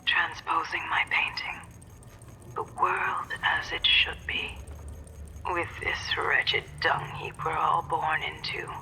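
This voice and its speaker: A woman voicing a grandiose, scheming witch painter in a video game, speaking deliberately and theatrically like someone savoring her own genius. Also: low-pitched, monotone, gravelly, pushed and bright, in a whisper, exaggerated voice, rough recording. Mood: grandiose, brooding. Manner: deliberate, theatrical, self-important. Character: grandiose scheming witch painter, coldly obsessed with remaking the world. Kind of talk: dramatic recorded monologue in a video game.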